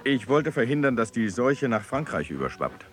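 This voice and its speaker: A man's voice: medium pitch, big pitch swings, normal speed, soft background music, close to an everyday voice. An actor in a radio drama playing an eloquent, proud dreamer poet and swordsman, with a precise, theatrical delivery. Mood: proud, defiant.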